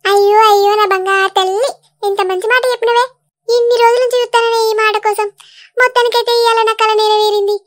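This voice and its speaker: A woman voicing a fussy village mother-in-law in a cartoon, with a Telangana Telugu accent and loud, quick, fussing talk. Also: very high voice, flat delivery. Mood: overjoyed. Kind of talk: cartoon voice acting.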